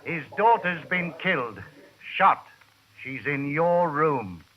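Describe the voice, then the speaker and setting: An actor playing a stiff, proper British colonial police constable in an old radio drama, formal, clipped and officious. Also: male voice, on the high side, expressive, takes it slowly, crowd noise. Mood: grave. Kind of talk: 1940s-50s radio drama acting. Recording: dull old radio recording.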